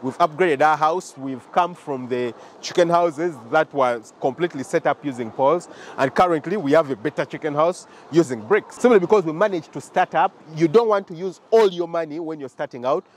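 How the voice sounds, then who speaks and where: A man's voice: on the high side, fairly quick, few pauses, a little hiss or hum. Ugandan young man touring his farm. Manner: explanatory, repeats points, addresses viewers directly. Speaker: farm owner giving a tour. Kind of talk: walking farm tour vlog. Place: outdoors at a poultry farm and inside a chicken house. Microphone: handheld camera close to the face.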